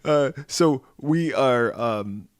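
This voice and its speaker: A man in his forties, hoarse and raspy after being ill, co-hosting a podcast in casual chat, rambling with fillers and restarts. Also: mid-range voice, animated, extremely slow, no echo, studio quality. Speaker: podcast co-host.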